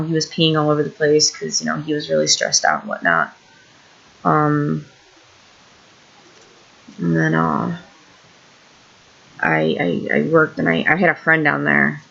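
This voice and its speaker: Gravelly-voiced woman venting on a couch. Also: sits low, muffled recording. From Illinois, USA. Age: about 30. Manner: rambling, frustrated, self-deprecating. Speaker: vlogger. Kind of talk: candid personal vlog rant. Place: indoors on a couch.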